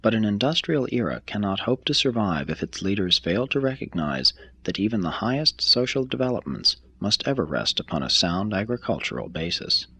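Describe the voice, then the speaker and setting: A man reading a scripted text aloud as an audiobook narrator, with a measured, formal delivery. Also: on the low side, very animated, pushed and bright, husky, no echo. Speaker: audiobook reader.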